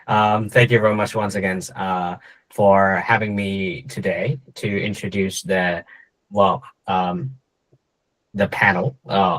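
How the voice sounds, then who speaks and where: A man's voice: sits low, fairly bright tone, breathy edge, poor audio. A young man from Vietnam in his twenties, giving a casual, joking presentation to students with many fillers and restarts. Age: 20s. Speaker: guest speaker, startup co-founder.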